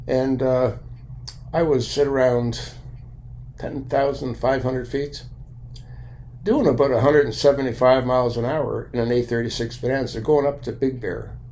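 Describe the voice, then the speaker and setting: An older man with an American accent giving a blunt, opinionated monologue in deliberate, broken-up phrases, with a gravelly voice. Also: medium pitch, fairly even intonation, a little mellow. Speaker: video commentator and private pilot. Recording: light background noise.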